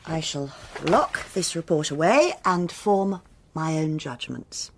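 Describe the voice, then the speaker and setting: An actress playing a stern, self-assured senior policewoman with a British accent, speaking in a measured, formal and authoritative way in a radio drama. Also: low-pitched, animated, a little hiss or hum, full character voice. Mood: formal, cool. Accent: British English.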